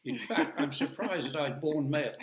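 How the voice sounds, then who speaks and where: Older male speaker, talkative and jokey, slightly high voice, roomy echo. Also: lively intonation, fairly quick, slight background noise. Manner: chatty, jokey, rambling with asides. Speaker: guest speaker. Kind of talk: informal talk to an audience.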